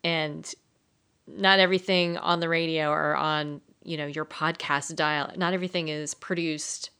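Bright, clear, low-pitched woman co-hosting. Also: fairly even intonation, unhurried. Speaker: podcast co-host. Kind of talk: casual conversational podcast talk.

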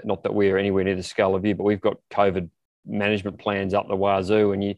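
A male podcast co-host chatting easily in a casual interview and giving quick agreeing replies. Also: sits low, completely flat delivery, no echo, muffled recording.

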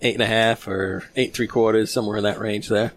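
A fast-talking man who hosts the chat. He keeps things moving briskly and steers the conversation. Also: lowish voice, little pitch movement. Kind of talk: casual chat.

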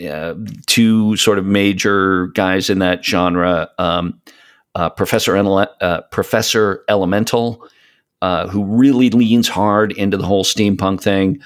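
Deep-voiced man, enthusiastic rambling talker. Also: steady tone, unhurried. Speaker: podcast guest, voice of an audio show. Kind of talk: casual conversational interview.

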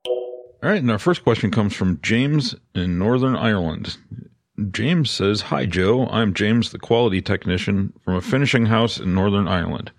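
Man, podcast co-host, lower pitch, reading from a script, dry close-mic sound. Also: animated, moderate pace, no room sound.

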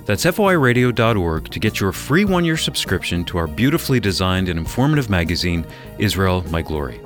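Male promo announcer, low and very varied in intonation, scripted read over music. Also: very animated, fairly quick. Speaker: radio announcer. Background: music bed.

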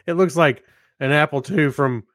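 A man's voice: fairly high pitch, a little slow, a bit bright, no room sound, studio quality. A man co-hosting a podcast in a casual, easygoing, conversational way. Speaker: podcast co-host. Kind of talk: casual chat.

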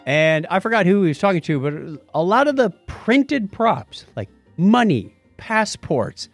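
Man, podcast host, casual chat, higher-pitched, very lively intonation, animated storyteller. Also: measured pace, no echo, soft background music.

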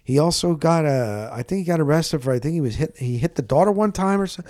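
A man with a slightly high-pitched voice talks sports like a host swapping stories. His delivery is casual and rambling, with an animated, exclamatory streak. The sound is very dry, with no room around the voice. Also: fast talker, dry recording.

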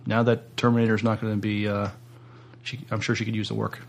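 A man's voice: on the low side, flat delivery, a little fast, vocal fry. A man co-hosting a podcast in casual discussion, speaking hesitantly in short pieces. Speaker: podcast co-host.

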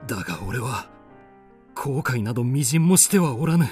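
A man's voice: medium pitch, lively intonation, moderate pace, darkish tone, breathy edge, soft background music. A man playing a reckless, passionate samurai in a radio drama, speaking intense, archaic warrior lines. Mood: dying, tender, gasping. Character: reckless, passionate young samurai in forbidden love.

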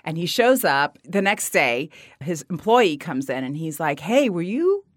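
Middle-aged woman, lowish voice, tells stories big and animated, dry close sound. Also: unhurried, no echo. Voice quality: low raspy voice in the mornings. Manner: animated storyteller, acts out quotes with big pitch swings. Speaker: guest. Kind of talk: casual interview chat.

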